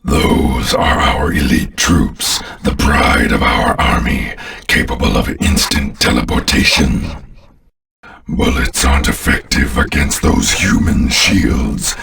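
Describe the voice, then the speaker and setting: A man voicing a sinister, contemptuous alien warlord in a video game, giving pompous, commanding battle orders in a gravelly voice. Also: fairly bright tone, far from the mic, slight background noise, full character voice. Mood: commanding, menacing. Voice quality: very deep, gravelly. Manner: slow, pompous, disdainful, commanding. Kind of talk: scripted video game character lines.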